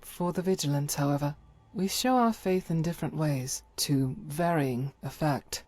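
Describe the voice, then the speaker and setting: A woman playing a devout, stern holy warrior in a video game, speaking in solemn, formal, measured phrases. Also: sits low, darkish tone, no echo. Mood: reflective, earnest. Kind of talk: scripted video game character dialogue.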